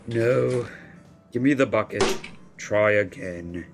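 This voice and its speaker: A gloomy, secretive man acted by a man in an audio drama speaks in slow, curt commands. His delivery is deliberate and terse, with music clearly playing underneath. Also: on the low side. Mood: stern, curt. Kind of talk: family audio drama acting.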